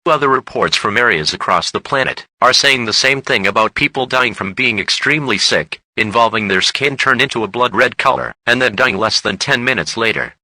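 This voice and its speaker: A man's voice: mid-range voice, brisk, few pauses, sharp tone, no echo, poor audio. A man reading a scripted emergency alert as a broadcast announcer.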